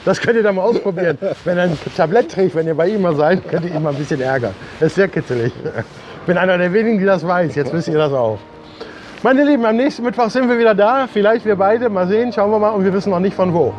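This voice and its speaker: A man's voice: high-pitched, expressive, quick pace, a little mellow, lo-fi sound, a little hiss or hum. A middle-aged or older man hosting a casual vlog, chatting to camera and often repeating his words. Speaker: vlog host. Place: outdoor rooftop terrace by the sea. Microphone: camera a few steps away.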